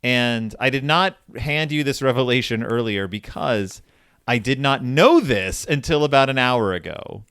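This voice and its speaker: A man's voice: mid-range voice, animated, unhurried, fairly bright tone, clear, resonant tone, no room sound, studio quality. A man hosting a podcast, talking through books in a casual, rambling, self-interrupting way with an explainer's enthusiasm. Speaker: podcast host. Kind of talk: casual book discussion.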